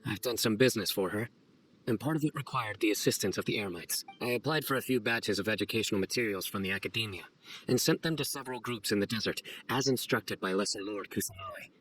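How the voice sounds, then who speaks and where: An actor playing a stern young official in a video game, speaking in a formal, deliberate deadpan. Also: male voice, on the low side, slight background noise, natural voice, rough recording. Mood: formal, matter-of-fact. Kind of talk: video game cutscene voice acting. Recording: faint music behind voice.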